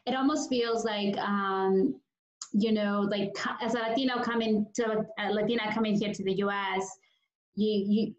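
A woman's voice: mid-range voice, steady tone, normal speed. A woman from Peru hosting a casual interview, asking curious questions with plenty of fillers. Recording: roomy, dull sound.

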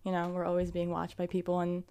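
Casting: lower-voiced female co-host, even and flat in delivery. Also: fast talker, clean tone. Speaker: podcast co-host. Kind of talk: casual podcast chat.

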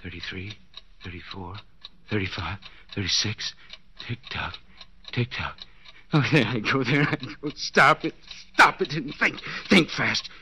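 An actor playing a desperate, guilt-ridden husband in a 1940s radio suspense drama, stammering and repeating himself breathlessly. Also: male voice, fairly low pitch, lively intonation, a little slow, pushed and bright, slightly rough, exaggerated voice. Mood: frantic, tense. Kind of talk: 1940s radio suspense acting, interior monologue. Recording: noisy, dull 1940s radio recording.

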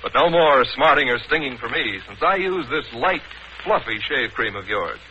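A man's voice: medium pitch, animated, normal speed, bright, forceful tone. A man, an athlete, reading a scripted testimonial in a 1940s radio advertisement. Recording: dull, hissy old radio broadcast.

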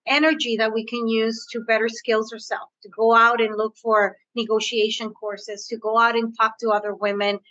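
A woman's voice: a little high, level tone, normal speed, few pauses, a bit bright, no room sound. Woman, Spanish accent, raised on the border, guest coach, earnest, repeats words, dull lo-fi sound. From US-Mexico border region. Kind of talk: interview answer.

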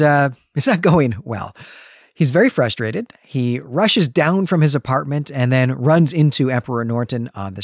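A man's voice: mid-range voice, no echo, studio quality. A man co-hosting a podcast, recapping a comic story in an articulate, explanatory way. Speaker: podcast co-host.

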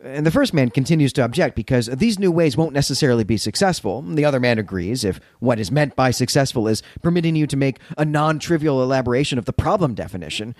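A man's voice: medium pitch, expressive, fast, hardly any pauses, a little mellow, no echo. A man co-hosting a podcast, recapping and commenting in a conversational, explanatory way with rhetorical check-ins. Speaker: podcast co-host.

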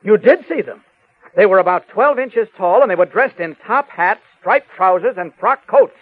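A man playing a level-headed, inquisitive newspaper reporter in an old radio drama, speaking in a brisk, probing and reassuring way. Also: sits high, animated. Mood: insistent. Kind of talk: 1940s-50s radio drama acting. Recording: muffled, phone-like vintage radio recording.

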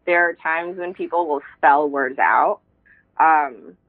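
A woman's voice: low voice, takes it slowly. A woman from Indonesia speaks as a guest in an interview. She talks reflectively, with many fillers and frequent laughs. Her voice comes through a tinny, phone-like line. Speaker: poet, guest. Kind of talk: casual interview talk.

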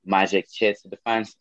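Young man, Brazilian accent, lowish voice, dry close sound, muffled lo-fi audio, stumbling repeats. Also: no room sound. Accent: Brazilian Portuguese. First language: Portuguese. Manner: halting, repeats words, swears casually, laughs often. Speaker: MMA fighter. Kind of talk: casual interview answers.

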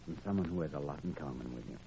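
Earnest doctor, low gravelly voice. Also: male voice, very fast, dark tone. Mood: earnest, persuasive. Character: earnest, quietly firm young male doctor. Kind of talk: old-time radio drama acting. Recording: muffled, noisy old radio recording.

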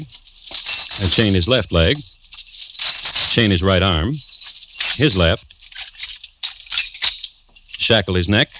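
A man narrating a vintage radio crime drama as host, deliberate and drily droll. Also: low-pitched. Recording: noisy old radio recording.

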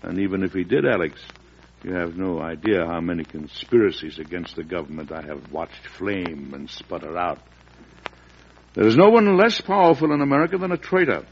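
An actor playing a fatherly, principled early American president in old-time radio drama, speaking with measured earnestness that turns oratorical when roused. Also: fairly low pitch, vocal fry, husky, full character voice. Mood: rousing conviction. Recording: dull, noisy vintage radio recording.

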